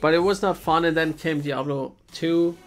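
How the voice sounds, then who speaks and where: German native man streaming games, casual halting chat, soft music behind. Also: on the high side. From Germany, Bavaria. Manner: hesitant, restarts sentences, chuckles often. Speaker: game streamer.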